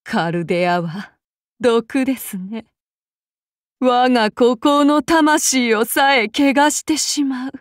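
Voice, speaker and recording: An actress voicing a calm, menacing samurai woman of demon blood, speaking in formal archaic phrasing to her master. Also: high-pitched, slow pace, dry recording, studio quality. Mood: cold, brooding. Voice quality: formal, archaic courtly speech. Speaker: game character voice actress. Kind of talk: game character voice lines.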